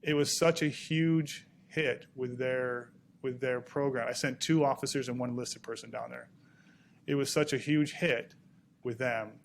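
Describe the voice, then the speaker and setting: A man speaking on a conference panel, halting often, with many restarts and fillers. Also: mid-range voice, steady tone, creaky, a little hiss or hum. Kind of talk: panel talk. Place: conference room.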